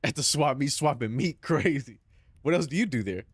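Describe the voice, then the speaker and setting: A man's voice: mid-range voice, lively intonation, moderate pace, breathy edge, dry recording. Southern Californian guy with a dry sound, streaming. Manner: loose, profane banter peppered with "bro". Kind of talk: casual stream chat.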